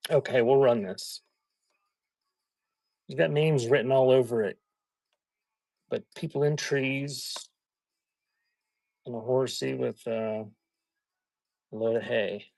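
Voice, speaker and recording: Folksy man in casual, halting chat. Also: mid-range voice, gravelly, a little mellow, dry recording. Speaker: live auction seller hosting a stream. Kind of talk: casual rambling chat while showing items for sale.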